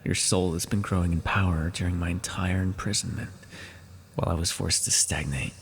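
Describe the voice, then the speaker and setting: A man playing an ancient, brooding immortal villain in an intimate fantasy audio roleplay, with a halting, dramatic, gravelly delivery. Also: lowish voice, full character voice. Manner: slow, halting, dramatic delivery with sighs and pauses. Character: ancient immortal villain, brooding, tormented, tender toward beloved mortal.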